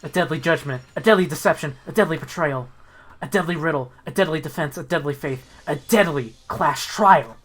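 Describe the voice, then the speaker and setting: A man's voice: on the high side, fairly quick, fairly bright tone, soft background music. A man hosting a playthrough, moving between narration and casual, sweary banter. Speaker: host reading a game aloud.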